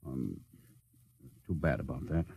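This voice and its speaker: A man playing a stern, authoritative spaceship captain with a quick temper in a 1950s radio drama. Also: very deep voice, expressive, gravelly, mellow, breathy edge, heavily acted. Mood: calm, reserved. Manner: clipped, authoritative orders. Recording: 1950s radio broadcast recording.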